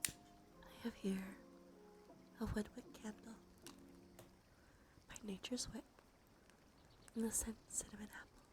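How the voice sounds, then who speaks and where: A woman doing gentle, unhurried ASMR in a breathy whisper and soft murmur, her nose slightly stuffy. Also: medium pitch, fairly even intonation. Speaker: ASMR streamer, host. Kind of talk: ASMR, soft intimate role play and rambling. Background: soft music.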